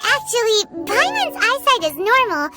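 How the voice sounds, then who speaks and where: A woman's voice: big pitch swings, moderate pace. A woman voicing a tiny, blunt, eager childlike fairy companion in a video game. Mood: matter-of-fact. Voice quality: squeaky, childlike. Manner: bubbly, speaks of self in third person. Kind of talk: video game character acting. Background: background music.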